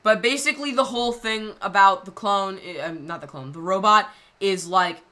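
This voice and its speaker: A woman creator talking casually over a video, rambling and halting with lots of fillers. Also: mid-range voice, pushed and bright. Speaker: channel creator.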